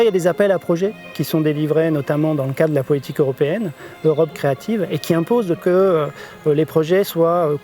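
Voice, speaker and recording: A man from an art centre explaining its work in a measured way, with occasional hesitations. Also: a little high, a little mellow. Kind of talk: explanatory interview. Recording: music bed under voice.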